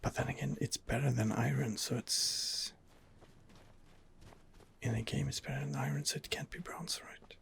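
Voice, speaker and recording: A man giving hushed ASMR game commentary in a breathy whisper, musing hesitantly to himself as he plays. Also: medium pitch, fairly even intonation, moderate pace, long pauses. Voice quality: breathy whisper with gravelly creak. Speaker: gameplay host.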